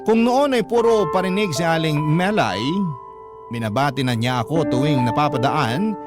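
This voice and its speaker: Casting: male actor for sincere radio drama letter narrator, higher-pitched man, reflective and respectful, prominent music bed. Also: normal speed, close to an everyday voice. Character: earnest letter writer recounting a father's romance. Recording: loud music under the narration.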